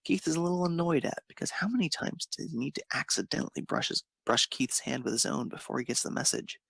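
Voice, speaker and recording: A man with a creaky, husky voice narrating a romantic story expressively. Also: fairly high pitch, animated, fast, a bit bright, no room sound, studio quality.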